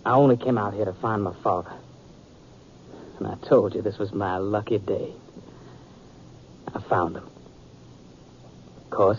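An actor playing a proud, wounded young sheriff in a radio western, terse, earnest and intense. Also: male voice, fairly low pitch. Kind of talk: 1950s radio western acting. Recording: muffled, noisy 1950s radio recording.

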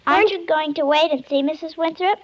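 A woman's voice: high-pitched, fairly quick, close to an everyday voice. A female performer playing a small, curious, innocent child in 1950s radio style. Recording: dull vintage 1950s radio recording.

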